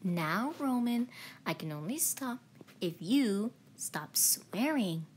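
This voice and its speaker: Woman doing a playful fatherly man, bouncy pitch. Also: animated, slow, slight background noise. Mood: gently scolding. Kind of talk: fan comic dub reading.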